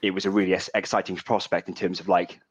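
A man's voice: sits low, level tone, fast talker, husky, no room sound, highs cut off. A man from Wales speaking as a podcast guest, reflective and hesitant, often restarting his phrases. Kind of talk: casual podcast chat.

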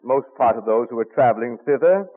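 An actor playing a stern, irascible colonial printer, pompous and blustering in archaic speech, in an old radio drama. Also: male voice, mid-range voice, animated, fairly quick, lightly acted. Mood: pompous, amused. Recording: muffled, narrow-band old radio broadcast.

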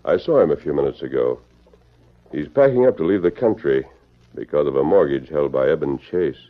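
An actor playing a calm, commanding masked hero in an old radio western, measured and authoritative. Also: male voice, a bass voice. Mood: calm, probing. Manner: measured, authoritative, probing questions. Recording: dull old radio recording.

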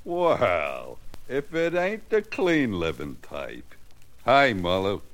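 A man's voice: fairly low pitch, wildly swooping pitch, takes it slowly, a bit bright, heavily acted. An actor playing a cocky, dim small-time crook with an American accent, using slangy, wheedling tough-guy talk in a 1940s radio crime drama.

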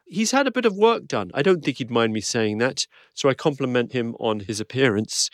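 Male podcast host, croaky from illness, dry wit, studio-dry sound. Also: mid-range voice, animated, brisk, no room sound. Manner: dry self-deprecating humor. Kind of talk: podcast intro and interview.

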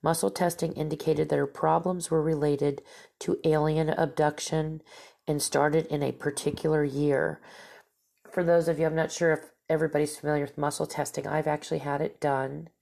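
A woman's voice: low-pitched, little pitch movement, moderate pace, gravelly, warm tone. A woman hosting a talk show, whose stuffy, nasal voice rambles casually through stories and asides. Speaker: podcast host. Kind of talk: casual storytelling monologue.

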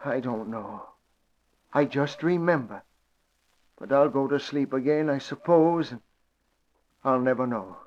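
An actor playing a frail, drugged old man in a 1940s radio drama, with a halting, wandering delivery. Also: mid-range voice, a little mellow, natural voice. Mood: dazed. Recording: dull lo-fi old radio recording.

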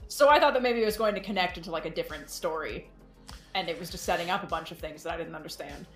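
A woman's voice: lowish voice, quick pace, fairly bright tone, roomy sound, faint music behind. A woman chatting casually and comedically as a podcast guest, with a playful, mock-boastful, joking manner. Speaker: podcast guest, fiction writer.

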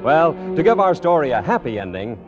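A man's voice: fairly high pitch, sing-song, a little fast. A man announcing on 1950s radio in a polished, salesmanlike, scripted delivery. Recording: dull old radio broadcast, music behind.

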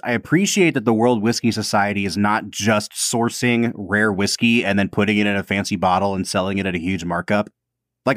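A man's voice: lowish voice, no room sound, studio quality. A man co-hosting a podcast in casual chat, talkative and self-deprecating, often breaking into laughs. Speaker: podcast co-host.

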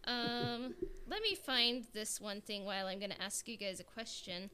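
A woman's voice: mid-range voice, normal speed, pushed and bright. Chatty woman podcaster with background noise. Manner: rambling, full of "like" and "you know". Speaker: podcast host. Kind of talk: casual chat.

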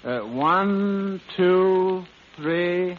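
Deadpan waiter, very slow delivery, vintage radio comedy, dull muffled recording. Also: male voice, high voice, exaggerated voice. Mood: droll. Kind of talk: 1940s radio comedy acting.

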